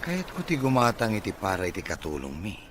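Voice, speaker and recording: A man acting as a friendly bachelor in an audio drama. He is casual and teasing, with a lively, swooping delivery. Clear noise runs behind him. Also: lowish voice, brisk. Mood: playful, friendly. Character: easygoing bachelor man, friendly suitor and customer. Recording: noticeable background noise.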